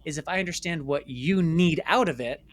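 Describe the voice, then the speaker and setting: A man's voice: a little high, brisk. A man speaking as an interview guest in a conversational storytelling style, emphatic and repeating phrases for stress. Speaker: interview guest, filmmaker and former stage actor.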